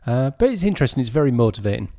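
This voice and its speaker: Man, forties, gravelly voice, lively swinging pitch, casual podcast chat. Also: very fast. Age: 40s. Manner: chatty, stammers on restarts. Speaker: podcast co-host.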